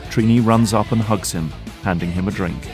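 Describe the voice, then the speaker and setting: A man's voice: lowish voice, normal speed, music in the background. A man reading scripted narration as the narrator of an audio drama.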